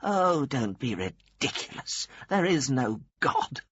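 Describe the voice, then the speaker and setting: A man's voice: on the high side, lively intonation, slow pace, slightly rough, dry recording, lo-fi sound, pitched above the performer's own voice, exaggerated voice. A man voicing a dying, cantankerous old woman who runs a religious refuge, blunt and scornful. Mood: exasperated.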